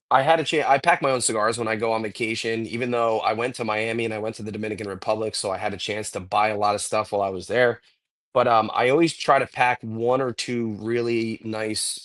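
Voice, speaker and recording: A man co-hosting a podcast, a little hoarse and congested from a cold, rambling enthusiastically in casual chat with lots of fillers. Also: fairly low pitch. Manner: rambling, enthusiastic cigar talk with many fillers. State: getting over a cold, a bit hoarse and congested. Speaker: podcast co-host.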